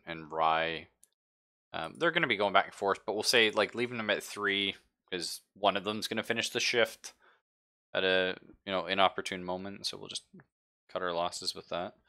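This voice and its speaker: Bright-voiced man running a tabletop game. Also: low voice, fairly even intonation, moderate pace, clean tone. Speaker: game master. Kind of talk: casual narration of a tabletop roleplaying game, live stream.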